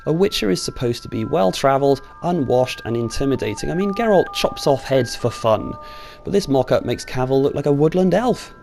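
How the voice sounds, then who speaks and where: A man's voice: mid-range voice, expressive, fairly quick, vocal fry, music in the background. A British man hosting an online video, giving informal, opinionated commentary with a sardonic streak and some mild swearing. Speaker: video host. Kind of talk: scripted opinion commentary on video game films.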